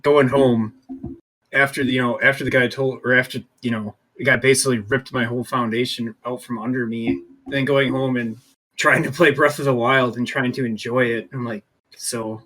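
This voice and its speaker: A man with an average-pitched voice chats on a podcast. He reminisces in an unscripted, personal way. His phrasing is informal, full of "like". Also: steady tone, normal speed, fairly bright tone, rough recording. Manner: informal, says "like" constantly. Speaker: podcast co-host. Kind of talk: casual chat, personal reminiscing.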